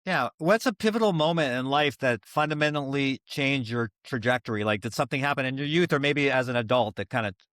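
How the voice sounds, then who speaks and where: A man's voice: mid-range voice, fast, fairly bright tone, no room sound, studio quality. A man hosting a podcast, asking interview questions and giving brief affirmations. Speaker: podcast host.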